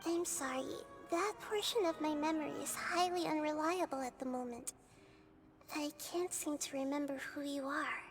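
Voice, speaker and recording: An actress voicing a young, girlish goddess who speaks in a soft, reassuring, thoughtful way. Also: high voice, normal speed, faint music behind, lightly acted. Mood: gentle.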